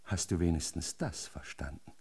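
An actor in a German radio drama playing a menacing, falsely kindly marshal who lectures sardonically, with a husky voice. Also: male voice, sits low, moderate pace, darkish tone, full character voice. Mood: mock-kind menace. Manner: mock-paternal, sardonic lecturing with sudden barked commands.

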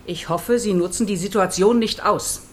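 A woman's voice: mid-range voice, normal speed, slight background noise, natural voice. An actress playing a stern, protective female hospital nurse in a radio play, formal and reproving.

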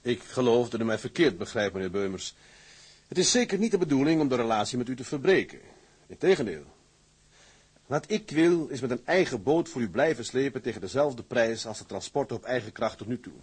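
An actor playing an ambitious, proud tugboat captain in a period drama, firm and businesslike. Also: male voice, mid-range voice, big pitch swings, brisk, a little mellow, husky. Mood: firm, persuasive. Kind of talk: acted period drama dialogue.

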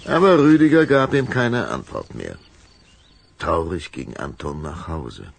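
A man narrating a children's radio play in an expressive, suspenseful storytelling style. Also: deep voice, unhurried, vocal fry, breathy edge.

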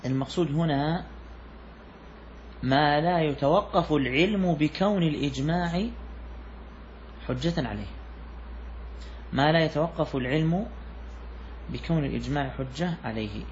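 A man's voice: fairly high pitch, moderate pace, pauses often, lo-fi sound, a little hiss or hum. A man teaching a class on a classical jurisprudence text, repeating key phrases and putting questions to his students. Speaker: sheikh teaching Islamic jurisprudence. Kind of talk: classroom lecture explaining a text.

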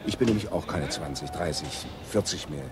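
An actor playing a charming, persistent middle-aged lover in a radio drama, flirtatious and theatrical in manner. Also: male voice, rumbling low, brisk. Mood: tender, insistent. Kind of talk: naturalistic radio drama acting.

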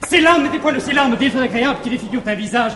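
A man playing a lovestruck, anxious young gentleman in a classic French farce, declaiming effusively and theatrically in a roomy old stage recording. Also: very high-pitched, very fast. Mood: rapturous, effusive. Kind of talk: classical stage comedy acting.

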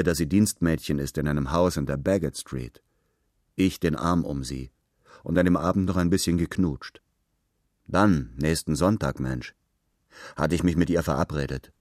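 A man's voice: very deep voice, darkish tone, no room sound, clean studio sound. A man playing a cocky young womanizer who brags in colloquial slang, in a radio drama. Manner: colloquial, bragging.

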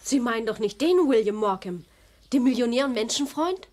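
An actress playing a concerned, gently pleading wife in a radio drama, soothing with a hint of reproach. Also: female voice, on the high side, lively intonation, fairly quick, natural voice. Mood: surprised, reverent. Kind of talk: German radio drama acting.

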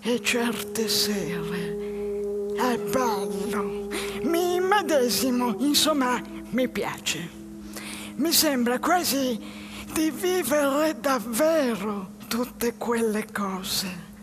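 An actress playing a lonely, dreamy, jobless man in a halting theatrical monologue. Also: a little high, expressive. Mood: melancholy, wistful. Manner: slow, halting phrases with pauses. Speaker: stage actress. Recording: music behind the voice.

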